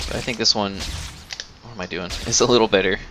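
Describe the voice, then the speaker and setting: A man's voice: on the low side, steady tone, fast talker, noisy background. A man giving casual, laid-back gameplay commentary with offhand swearing. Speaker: gamer commentator. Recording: loud game music behind voice. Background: video game music and audio.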